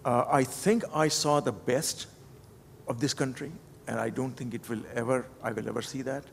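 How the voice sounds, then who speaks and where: An older man from Pakistan, reflecting on his life at a conference panel, halting and full of repeated words and restarts. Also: medium pitch, steady tone, creaky. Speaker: panel speaker. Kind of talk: unscripted personal reflection at a panel. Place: conference hall. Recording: long hall reverb with faint noise.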